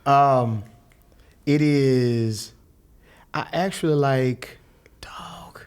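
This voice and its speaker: A man's voice: extremely slow, clear, resonant tone. A middle-aged man from Louisville, a musician and guest telling stories in casual conversation. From Louisville, Kentucky. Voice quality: mellowed former high singing voice. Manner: animated storyteller, quoting others with voiced impressions.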